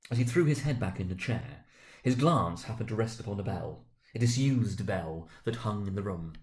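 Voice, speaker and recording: Expressive man giving a dramatic reading. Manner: expressive, swinging between loud emphasis and hushed low passages. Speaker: school director reading aloud. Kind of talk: dramatic reading of a classic novel.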